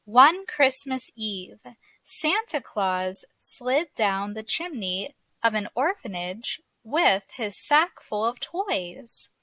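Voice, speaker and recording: Female storybook narrator for kids, fairly high bright voice, unhurried and expressive, close dry sound. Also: no room sound. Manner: expressive, deliberate read-aloud delivery. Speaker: teacher reading a children's story aloud. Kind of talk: scripted storybook narration for children.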